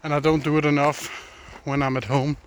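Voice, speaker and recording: A man's voice: a little high, flat delivery, brisk. A man from Denmark with a Danish accent, speaking English as a second language, casually vlogging as he walks a forest trail, thinking aloud and sometimes searching for words. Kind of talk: casual walking vlog. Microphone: handheld phone. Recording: outdoor phone recording with clear background noise.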